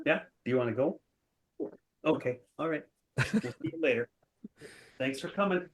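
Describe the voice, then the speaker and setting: A man's voice: a little high, expressive, moderate pace. A middle-aged or older man, a pastor, chatting casually as co-host of a talk show. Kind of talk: casual chat.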